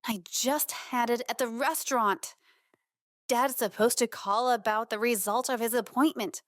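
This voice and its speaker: A young actress playing an anxious, easily flustered athlete in an audiobook, with an exasperated, muttering delivery. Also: female voice, on the high side, steady tone, measured pace, a bit bright, dry recording, studio quality. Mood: worried. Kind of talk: audiobook character acting.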